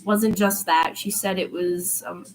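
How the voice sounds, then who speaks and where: Woman voicing male college student, awkward, brooding, halting, audiobook fiction, faint background noise. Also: lowish voice, fairly even intonation. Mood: resigned, wry.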